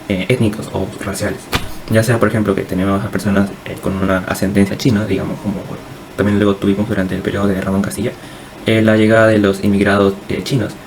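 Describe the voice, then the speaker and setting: A man's voice: fairly low pitch, darkish tone, a little hiss or hum, poor audio. A young man with a Peruvian accent giving an informal class presentation as a student, hesitant and often repeating words. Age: young adult.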